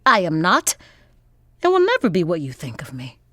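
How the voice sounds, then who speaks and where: An actress playing a proud, defiant young student activist in an audio drama, with an American accent and an articulate, husky delivery. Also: female voice, on the low side, huge pitch swings, moderate pace, fairly bright tone, no echo, studio quality, full character voice. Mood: guarded, defiant. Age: young adult.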